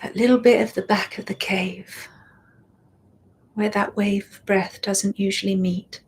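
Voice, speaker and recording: A woman guiding a meditation, speaking softly and soothingly and often dropping into a whisper. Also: medium pitch, steady tone, slight background noise. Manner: slow, soft and soothing, drifting into whispers. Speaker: meditation guide. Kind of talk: guided meditation.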